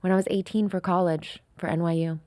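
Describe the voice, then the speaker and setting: A woman's voice: lowish voice, steady tone, a little fast, mellow, no room sound. A woman in her thirties from France, speaking openly and reflectively as a podcast guest, with frequent fillers. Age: 30s. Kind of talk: candid interview conversation.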